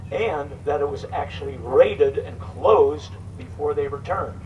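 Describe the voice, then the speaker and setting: A man's voice: a little high, measured pace, dull audio. A man reading scripted narration for a staged documentary drama at a live event. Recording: distant mic, faint background noise.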